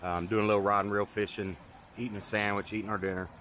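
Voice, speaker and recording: A middle-aged man casually narrating a fishing and bottle-hunting trip outdoors, talking in a relaxed, drawling way. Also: fairly low pitch, fast talker, a little hiss or hum. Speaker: fishing vlogger. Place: outdoors on a river and sandbar. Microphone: body-worn action camera.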